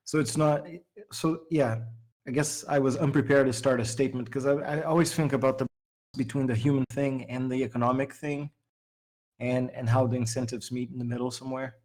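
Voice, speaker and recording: A man with a mid-pitched voice, leading a casual group discussion. His language is Portuguese, and he speaks over an online voice call. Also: steady tone, fairly quick, a little mellow. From Brazil. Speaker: participant leading an open-stage livestream. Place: remote voice call.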